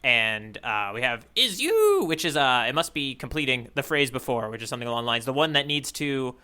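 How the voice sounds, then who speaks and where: A man co-hosting a podcast, chatting casually about comics in a halting way, often restarting his sentences. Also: medium pitch, sing-song, bright, forceful tone, clean tone. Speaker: podcast co-host. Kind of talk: casual chat about a manga.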